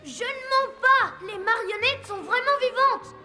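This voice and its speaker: A woman voicing an earnest, stubborn and excitable young boy in a cartoon, with an emphatic, pleading delivery. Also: very high-pitched, measured pace, over music. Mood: indignant. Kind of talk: animated cartoon dubbing.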